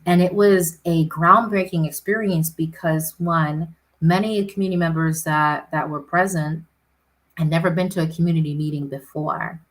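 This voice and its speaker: A woman's voice: deep voice, steady tone, moderate pace, a little hiss or hum, poor audio. A woman city councilor answering interview questions earnestly, often tagging points with "right?".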